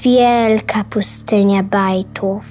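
A woman's voice: medium pitch, steady tone, extremely slow, a little mellow, faint music behind. A woman playing a curious, frank young girl in a children's audio drama, with a childlike, questioning way of talking.

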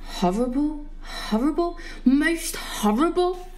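A haunted, grieving prince , played by a woman, in a Shakespearean radio play. The high voice swings widely and intensely, yet takes its time over the verse. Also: takes it slowly. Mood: horrified. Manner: dramatic, animated delivery of verse. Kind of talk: Shakespearean audio drama.

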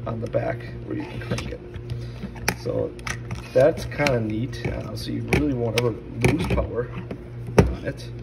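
Low-voiced man, distant noisy recording. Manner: informal, conversational, halting. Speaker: product reviewer. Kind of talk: casual unscripted product review. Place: indoors at a stone countertop. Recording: distant mic, clearly noisy background.

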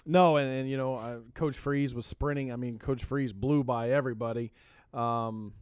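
A man's voice: mid-range voice, fairly even intonation, normal speed, a little mellow, smooth, no echo, clean studio sound. A man, a head football coach, talking on a radio show with many fillers and restarts. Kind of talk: casual radio interview. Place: radio studio.

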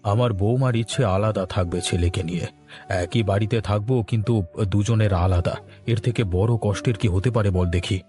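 Man acting a low, mellow, resigned old father. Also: moderate pace, faint music behind, natural voice. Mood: sorrowful. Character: sorrowful, resigned, forgiving old father-in-law. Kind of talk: Bengali cartoon voice acting.

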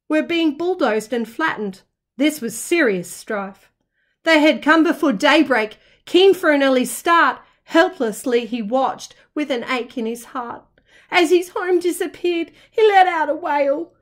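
A woman reading a rhyming children's storybook aloud in an expressive, dramatic, sing-song way, like a teacher. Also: very high voice. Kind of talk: children's storybook narration in rhyme.

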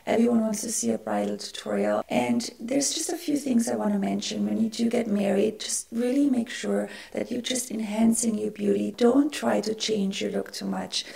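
Hoarse, gravelly woman losing her voice. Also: medium pitch, normal speed, hardly any pauses, warm tone. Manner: chatty, friendly, explains as she works. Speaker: makeup artist. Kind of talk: makeup tutorial.

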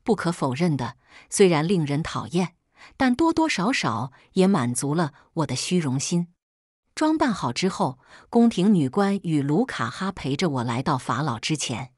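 A woman reads a first-person audiobook story in a very low register. Her voice has a slightly husky edge, and the recording is dry, without room sound. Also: very animated, moderate pace, darkish tone, dry recording. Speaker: audiobook narrator.

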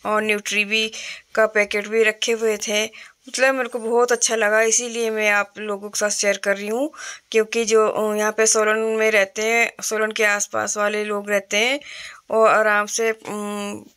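Woman, middle-aged, flat even delivery, casual shopping vlog, phone recording in a store. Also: medium pitch. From Himachal Pradesh, India. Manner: slow, plain chatty narration with fillers. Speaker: vlogger. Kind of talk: casual shop walkthrough vlog. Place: indoor retail store.

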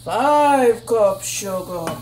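A woman in her thirties cooking in a small home kitchen, chatting casually and counting out loud, recorded on a phone. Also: medium pitch, animated, drawn-out words, slight background noise. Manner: counts out loud with a put-on villain laugh. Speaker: home cook.

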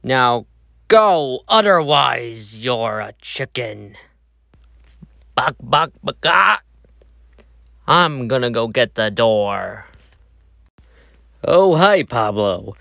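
A man's voice: mid-range voice, sing-song, takes it slowly, gravelly, long pauses, bright, forceful tone, no echo, clean studio sound. A man acting out voice lines as a menacing, gleeful cartoon police dog, theatrical and taunting. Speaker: amateur voice performer. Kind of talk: acted cartoon villain voice lines.

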